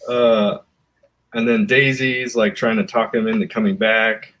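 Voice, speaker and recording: A man casually recounting a comic's plot, halting with fillers and restarts. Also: on the low side, fairly even intonation, a bit bright. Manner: rambling, halting storytelling. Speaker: comic artist guest. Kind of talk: casual chat.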